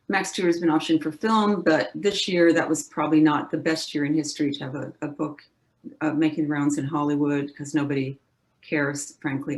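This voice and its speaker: A woman author giving a casual, halting talk about her books, with frequent uhs and restarts. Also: low-pitched, a little mellow, low-quality recording. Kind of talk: casual presentation at an online library event.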